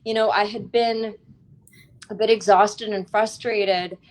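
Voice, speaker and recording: A woman with a medium-pitched voice speaks as a guest author. She tells her story conversationally, with articulate, expressive and reflective phrasing. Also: takes it slowly, low-quality recording. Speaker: author, wellness teacher, interview guest.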